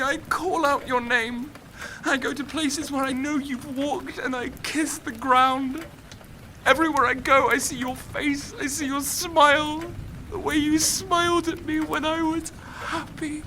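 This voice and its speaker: A lovesick despairing young writer in audio drama, sharp strained edge, pleading, bit of noise. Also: male voice, very high-pitched, exaggerated voice. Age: young adult. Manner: pleading, emotional, repeating himself. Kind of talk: naturalistic audio drama acting.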